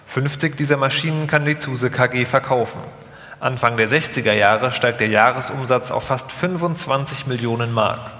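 A man's voice: medium pitch, a bit bright. A man reading scripted documentary narration live, as the narrator of a radio feature. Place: live stage in a large hall. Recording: echoey hall with long reverb.

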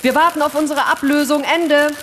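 An actress in a staged radio play reading, playing a dutiful astronaut with a dry, matter-of-fact delivery. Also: female voice, high-pitched, steady tone, fairly quick, fairly bright tone, slight background noise. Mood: dry, wary.

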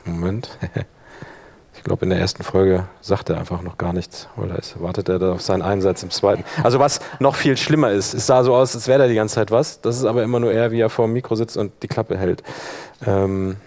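A man giving an informal live software tutorial as workshop instructor, thinking aloud while he demonstrates. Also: on the low side, fairly even intonation, fairly quick.

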